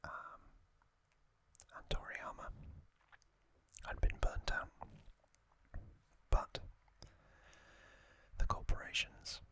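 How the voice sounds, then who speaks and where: An ASMR host narrating in a breathy, unvoiced whisper, hushed and deliberate, with frequent pauses. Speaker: host of an ASMR trivia channel.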